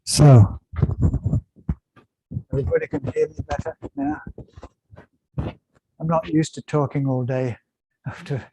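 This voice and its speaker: A man delivers an academic lecture in a mellow, slightly croaky voice, pitched a little high. He moves through it in halting phrases. Faint noise sits behind him on the recording. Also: rough recording. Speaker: seminar speaker, academic lecturer.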